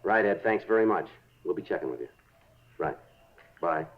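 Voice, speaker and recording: A muffled 1950s radio recording of a police detective. His voice is low, and he talks in short, plain, workmanlike phrases with a businesslike temper. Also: lively intonation, slight background noise. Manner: brief, brisk acknowledgements, plain and workmanlike. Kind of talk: 1950s radio drama acting.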